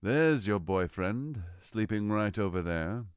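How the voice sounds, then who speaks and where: An actor playing a commanding fairy king in a modernized Shakespeare audio drama, with theatrical, expressive delivery. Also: fairly low pitch, slow, clean tone, dry recording, studio quality. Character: commanding fairy king, scornful yet relenting. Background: music.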